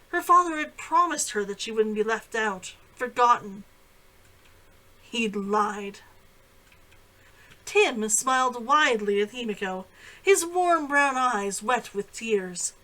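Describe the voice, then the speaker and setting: High-voiced woman reading fiction aloud. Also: a bit bright, low-quality recording. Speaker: author reading own novel aloud. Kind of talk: scripted audiobook-style narration.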